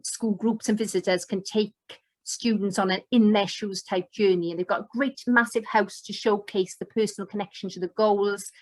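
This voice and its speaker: A woman's voice: mid-range voice, no echo, poor audio. A middle-aged woman with a Welsh accent presenting an online teacher course over slides on a video call, enthusiastic and loose, with many fillers and restarts. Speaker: educator and presenter. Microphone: webcam on video call.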